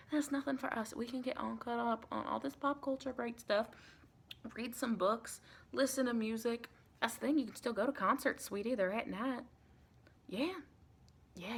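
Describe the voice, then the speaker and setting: An American woman playing a sweet, reassuring vampire in a chatty, rambling ASMR roleplay, with a creaky voice. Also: fairly high pitch, fairly bright tone. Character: sweet, reassuring female vampire welcoming a new recruit.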